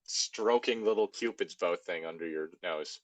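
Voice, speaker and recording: A man's voice: low voice, moderate pace, no echo. A man chatting casually as a co-host, teasing and swearing lightly as he comments. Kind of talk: casual banter.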